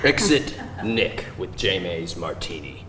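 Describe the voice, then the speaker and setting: A man's voice: low voice, sing-song, slow, fairly bright tone. A man reading screenplay stage directions aloud as narrator at a live table read. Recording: roomy live event, distant mic, faint noise.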